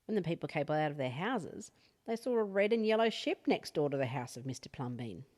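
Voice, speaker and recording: Low-pitched woman reading a children's story. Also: lively intonation, a little fast, darkish tone. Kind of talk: expressive read-aloud bedtime story narration.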